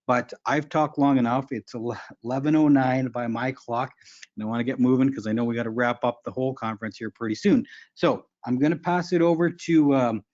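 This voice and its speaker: A man in his forties talks earnestly at an online conference panel. He speaks frankly and stops often to restart his thoughts. His voice sits at an ordinary pitch, and the remote audio sounds dull and lo-fi. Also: darkish tone. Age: 40s.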